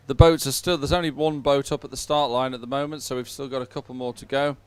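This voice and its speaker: A man giving live sports commentary in a chatty, somewhat halting announcing style. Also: mid-range voice, steady tone, smooth, no room sound.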